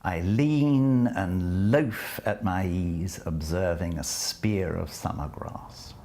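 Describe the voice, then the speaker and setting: A man who introduces a poem and then reads it aloud, in a measured, deliberate way, letting phrase ends fall and slipping into soft whispers at times. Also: deep voice. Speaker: poetry reader and lecturer. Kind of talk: spoken introduction and poetry reading.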